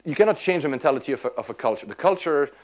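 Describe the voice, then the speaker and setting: A Swiss-born man answering audience questions in casual, animated talk, often restarting his sentences. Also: on the high side, very fast. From Switzerland. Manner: animated, many restarts and repeats. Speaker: tech speaker answering questions. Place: lecture room at an institute.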